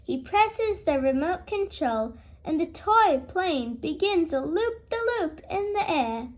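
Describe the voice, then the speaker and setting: A woman reading a children's storybook aloud as host, in an expressive storytelling style. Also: very high voice, takes it slowly.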